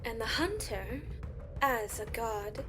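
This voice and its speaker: A woman playing a solemn, ancient lore-keeper who gravely tells old tales in a fantasy audio drama. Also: on the high side, expressive, slow. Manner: grave, storytelling. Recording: music behind the voice.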